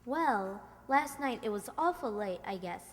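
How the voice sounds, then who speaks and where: A woman's voice: medium pitch, lively intonation, a little slow, close to an everyday voice. A woman playing an eager, gossipy young kid sister in a radio comedy, telling tales in an earnest, confiding way. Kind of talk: 1940s radio comedy acting. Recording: echoey hall stage recording.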